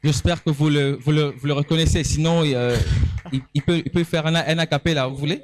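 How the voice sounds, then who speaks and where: A man's voice: a little high, steady tone, a little fast. A man co-hosting a reading event, bantering and joking with the moderator. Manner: joking, teasing. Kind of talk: playful stage banter.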